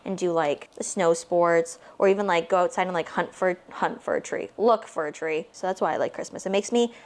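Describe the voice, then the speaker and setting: Late-20s woman vlogger from Canada, quick rambling chatter, lots of "like", casual and talky. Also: lowish voice. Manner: rambling, heavy use of "like"; talks with her hands. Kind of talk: casual chatty vlog while baking.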